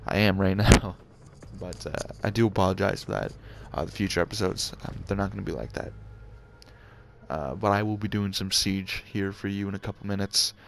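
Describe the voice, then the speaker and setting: Young man, very low gravelly voice, hushed hesitant gaming-channel chat, faint background hiss. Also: moderate pace. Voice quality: deep voice. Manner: hesitant, many ums and uhs, laughs at himself; talking quietly. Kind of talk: casual talk to viewers.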